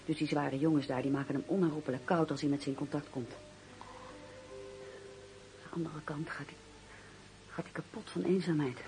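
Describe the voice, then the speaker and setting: An actress playing a loyal, indignant young fiancée in a radio drama, speaking earnestly and with emphasis. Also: female voice, deep voice, fairly even intonation, a little fast, long pauses. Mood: worried. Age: young adult. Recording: noisy radio play recording.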